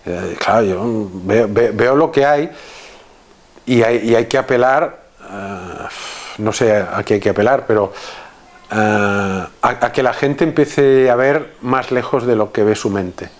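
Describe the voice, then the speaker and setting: A man with a Spanish accent from Catalonia, a guest in a casual conversation, talking in a halting way with many restarts and fillers. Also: lowish voice.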